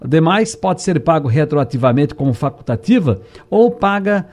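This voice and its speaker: A man hosting a radio call-in show, friendly and brisk, reading listeners' questions and greeting callers. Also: a little high, sing-song, a little mellow. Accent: Brazilian Portuguese.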